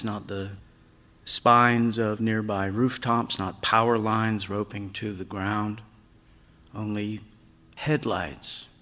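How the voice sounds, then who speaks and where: A man reading a literary story aloud on stage, in a measured, dramatic way that moves between hush and sudden swells. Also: on the low side. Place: large theatre stage. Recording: reverberant hall, live stage reading.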